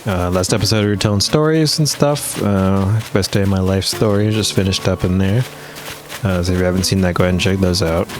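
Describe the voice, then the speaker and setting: A young man hosting a gaming video, talking casually and rambling with many fillers and restarts, his voice worn and rough at points. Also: sits low, fairly quick. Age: young adult. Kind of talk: casual gameplay commentary. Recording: game music playing under the voice.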